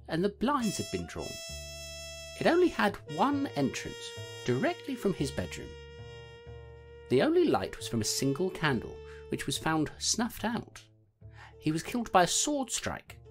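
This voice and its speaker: A woman voicing a constable who recounts a murder investigation in a matter-of-fact way. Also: lowish voice. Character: rattled female constable narrating her case. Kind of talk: audio drama acting.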